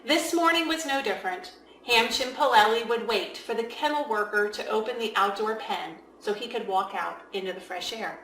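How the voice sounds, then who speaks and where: A woman's voice: a little high, normal speed, hardly any pauses, a bit bright, slight background noise. Echoey storybook read-aloud by a woman. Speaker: children's author reading aloud. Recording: echoey room.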